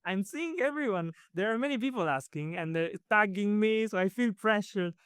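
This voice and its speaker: A man with a clear Italian accent talks through his story in a relaxed interview. His voice sits a little high and sounds smooth and resonant. He often pauses with fillers and restarts his sentences, recorded close with no room sound. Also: dry recording. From Italy. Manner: hesitant, many fillers and restarts. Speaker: guest. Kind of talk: casual interview answers.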